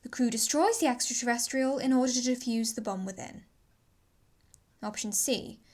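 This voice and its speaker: A woman's voice: on the high side, moderate pace, a little mellow, lightly acted. A woman playing a precise, unemotional female ship's computer with a formal manner in an audio drama. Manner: formal, matter-of-fact.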